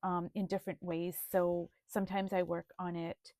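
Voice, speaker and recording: A woman presenting her art journal in a video, speaking in a slow, thoughtful, gently earnest way. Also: on the low side, level tone, no echo, studio quality. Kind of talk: reflective video flip-through.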